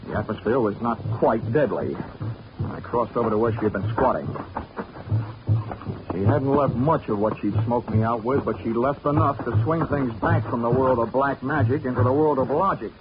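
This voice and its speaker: A man's voice: medium pitch, normal speed, close to an everyday voice. An actor playing a hardboiled insurance investigator who narrates his own case in a 1940s radio drama, with dry wisecracks. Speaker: narrator and lead. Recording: dull 1940s radio broadcast with music.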